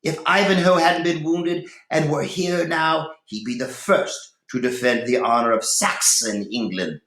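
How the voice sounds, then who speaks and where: A man's voice: fairly high pitch, unhurried, a bit bright, pitched above the performer's own voice. A man voicing a proud, fiery young Saxon noblewoman from a storybook, indignant and taunting. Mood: angry, insistent. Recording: roomy, echoey room.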